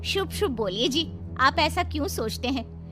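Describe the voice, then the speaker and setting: A woman plays a practical village wife in a cartoon tale. Her voice sits a little high, with loud music playing behind it. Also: animated, moderate pace. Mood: reassuring. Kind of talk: cartoon story dubbing.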